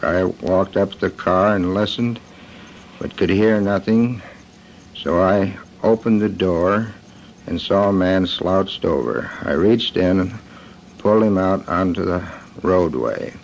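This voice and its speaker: A man with an American accent giving a prepared eyewitness statement in a plain, halting way. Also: low voice. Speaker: eyewitness, bus company passenger agent. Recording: dull, faintly noisy 1940s radio recording.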